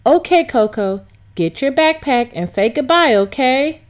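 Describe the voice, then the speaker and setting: A woman voicing a gentle, affectionate mother who explains things patiently in a children's storybook. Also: high voice, expressive, unhurried. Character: gentle, reassuring, affectionate mother of a young girl. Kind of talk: children's storybook read-aloud.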